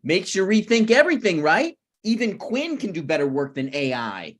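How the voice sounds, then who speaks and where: Man playing a snarky, animated slacker writer. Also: sits high, normal speed, heavily acted. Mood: smug. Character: snarky broke male writer, stubborn, unapologetic slacker. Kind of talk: table read of a comedy pilot.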